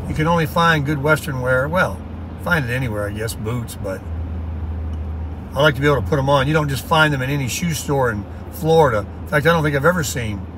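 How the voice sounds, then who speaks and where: A middle-aged man with a slightly high voice chats in a folksy, upbeat ramble from behind the wheel. He is recorded on a dash camera inside a moving car. Faint road noise hums underneath. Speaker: vlogger. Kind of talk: casual rambling talk while driving.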